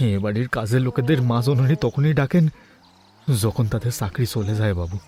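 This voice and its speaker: An actor playing an anxious, humble household servant in a Bengali audio story, speaking in a subdued, deferential way. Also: male voice, mid-range voice, fairly quick, crowd noise, lightly acted. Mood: anxious, dejected.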